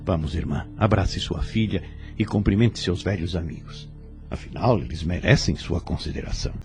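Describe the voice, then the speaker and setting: A man playing a calm, kindly spirit guide in an audio drama, reassuring and measured, with gentle authority. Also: low voice, gravelly, over music. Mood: gently firm. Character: calm, kindly male spirit instructor guiding his pupil.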